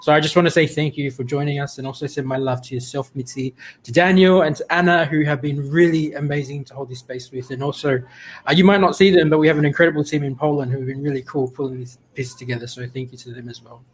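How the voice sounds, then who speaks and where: A man from Tonga co-hosting an online gathering over a video call, speaking in a warm, heartfelt way and sounding a little emotional. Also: a little high, fairly even intonation, fast, slightly rough. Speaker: co-host of an online climate gathering. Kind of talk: live online event hosting.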